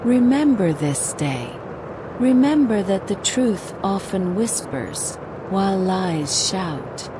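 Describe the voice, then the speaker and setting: A woman voicing a gentle, wise ancient male forest spirit in a folktale, with a rustling tone. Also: mid-range voice, sing-song, slow pace, background noise. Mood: solemn. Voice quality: rustling, leafy.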